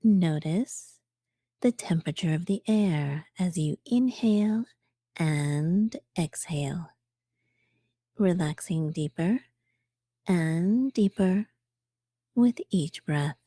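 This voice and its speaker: A woman narrating a guided meditation for beginners as the guide, speaking calmly and gently, in measured phrases with pauses. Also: lowish voice, no echo, clean studio sound.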